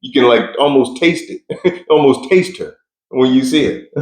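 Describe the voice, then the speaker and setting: A man's voice: medium pitch, unhurried, a little mellow, roomy sound, poor audio. A young man answering interview questions in a laid-back, blunt way with slang and frequent laughs. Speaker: interview guest.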